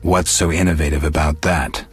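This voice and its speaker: An actor playing a gruff, stoic monster hunter for hire in a video game, speaking in a terse, deadpan way. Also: male voice, extremely low pitch, moderate pace, faint music behind, exaggerated voice. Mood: calm, probing. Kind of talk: video game dialogue acting.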